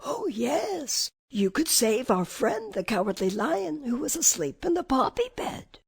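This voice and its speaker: A woman's voice: high-pitched, big pitch swings, measured pace, vocal fry, slightly rough, pitched above the performer's own voice, exaggerated voice. A woman voicing an eager, helpful scarecrow stuffed with straw, earnest and persuasive, in a storybook.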